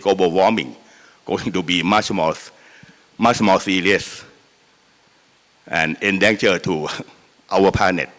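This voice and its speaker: Deep-voiced Thai man in an echoey hall. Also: a bit bright. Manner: halting and repetitive, with emphatic enumerated points. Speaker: panel speaker. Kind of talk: extemporaneous conference speech on policy. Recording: echoey hall with long reverb.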